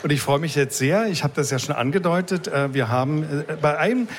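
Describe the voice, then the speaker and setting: A man's voice: fairly high pitch, animated, fairly quick, a little hiss or hum. A man hosting a radio interview, rambling and often restarting his sentences. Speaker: radio host.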